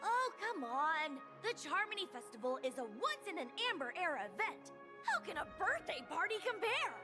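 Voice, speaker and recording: An extremely high, bubbly young female festival-goer in a video game. She is gushing and excitable, with big swoops of pitch over clear music. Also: moderate pace. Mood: indignant, eager. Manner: gushing, exclamatory. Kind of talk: scripted video game dialogue. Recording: prominent game music behind voice. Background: game background music.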